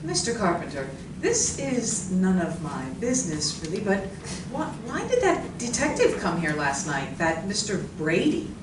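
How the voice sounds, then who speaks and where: A woman's voice: mid-range voice, big pitch swings, normal speed, lightly acted. A woman playing a polite, jittery mother in a radio drama, speaking in a flustered, hesitant way. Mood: polite, uneasy. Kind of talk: live radio drama acting. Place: library community room, live performance. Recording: echoey room from far off, noisy.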